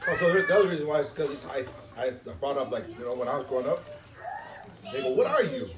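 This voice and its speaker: A man's voice: medium pitch, lively intonation, quick pace, crowd noise. A man in a casual group chat, telling stories in a rambling way and circling back to repeat and rephrase his questions. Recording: music behind the voice. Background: music.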